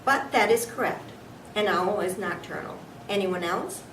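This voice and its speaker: A stern storybook schoolteacher, acted out by a woman, gray-haired and unsmiling, with a brisk, correcting way of asking questions. The sound is roomy and distant, with noticeable noise. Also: medium pitch, a bit bright, close to an everyday voice. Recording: echoey room, distant mic, background noise.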